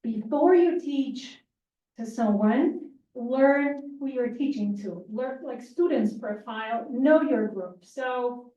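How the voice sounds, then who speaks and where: A woman with a Ukrainian accent presents to a conference room in a lively, informal way, restarting her sentences as she moves around. Her voice sits a little high, with a mellow tone. The recording is distant and dull, with a roomy echo. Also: slow. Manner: lively, informal, self-correcting, moves around while talking.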